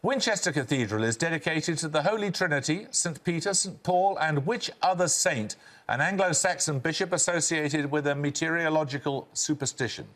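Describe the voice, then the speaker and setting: An older man hosting a television quiz, reading questions in a crisp, brisk manner. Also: fairly high pitch, a bit bright. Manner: crisp, brisk quizmaster delivery. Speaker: quiz show host.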